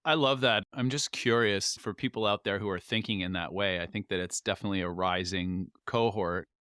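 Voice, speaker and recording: A man's voice: lowish voice, fairly quick, fairly bright tone, no echo, clean studio sound. A man co-hosting a podcast, asking curious, enthusiastic follow-up questions. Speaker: podcast co-host. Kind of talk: casual interview chat.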